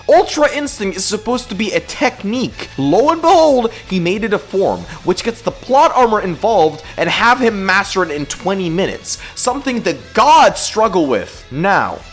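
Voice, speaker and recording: A YouTube host performs a comedic rant with highly animated pitch that swings up and down. The delivery is theatrical and exaggerated, stretching words and breaking into outbursts. Music plays behind the voice. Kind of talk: comedic scripted rant commentary. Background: background music.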